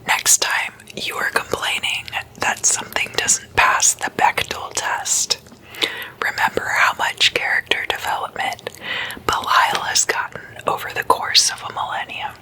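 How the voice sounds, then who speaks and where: A woman speaks entirely in a crisp, bright whisper, breathy and unvoiced. She goes very slowly and deliberately as an ASMR host, leaving measured pauses between short phrases of her explainer. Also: low-quality recording.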